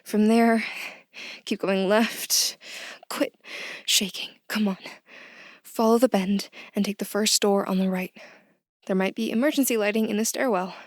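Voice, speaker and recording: A woman's voice: medium pitch, fairly even intonation, a little slow, no room sound, clean studio sound, lightly acted. A woman playing a nervous new office worker, hesitant and muttering to herself, in a naturalistic audio drama. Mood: shaky, self-coaching.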